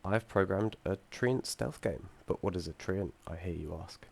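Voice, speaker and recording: A young man with a low, gravelly voice, audibly croaky from being under the weather. He talks through his game's code in a halting way, full of ums and self-corrections, with the odd dry joke dropped in. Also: a little mellow. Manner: hesitant, many ums and restarts, dry asides. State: croaky from feeling unwell. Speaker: student presenting his game project. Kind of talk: screen-recorded code walkthrough.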